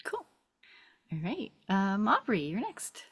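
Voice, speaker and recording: A woman's voice: medium pitch, wildly swooping pitch, slow, a bit bright, husky. A woman hosting in a casual chat style, giving brief prompts. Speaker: host.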